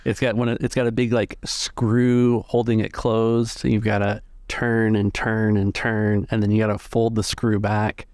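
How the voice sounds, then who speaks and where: A man's voice: on the low side, level tone, unhurried, hardly any pauses, a little mellow, no echo, clean studio sound. A man acting as game master, describing scenes conversationally in casual tabletop game narration.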